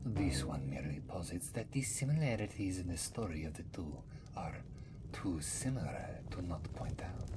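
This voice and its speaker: A man voicing a mysterious, wry old cat-man, rambling in a breathy half-whisper for an ASMR fantasy roleplay. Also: low voice, animated. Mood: grave, storytelling. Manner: rambling, third-person speech, theatrical pauses. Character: mysterious old male Khajiit cat-man wanderer, wry, world-weary, teasing. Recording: music behind the voice. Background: prominent background music.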